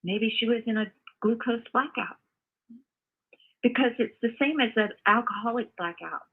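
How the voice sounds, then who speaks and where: An older woman calling in by phone to give her opinion, halting and earnest, often repeating herself. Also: on the high side, slightly rough. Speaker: caller, mother of the host. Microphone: phone call. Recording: muffled, narrow phone call audio.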